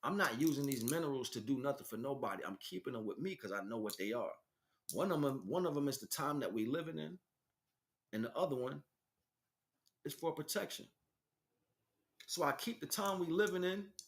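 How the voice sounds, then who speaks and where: A man's voice: mid-range voice, lively intonation, fairly quick, pauses often. A man giving an unscripted spiritual teaching as a livestream host, speaking emphatically and repeating phrases for stress. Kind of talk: unscripted spiritual monologue, preaching.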